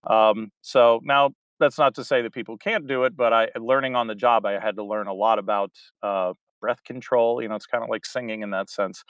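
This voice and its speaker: A man's voice: fairly low pitch, steady tone, fairly quick, few pauses, fairly bright tone, clear, resonant tone, dry recording, dull audio. A man, a community theater director, chatting casually as an interview guest, rambling a bit and laughing easily.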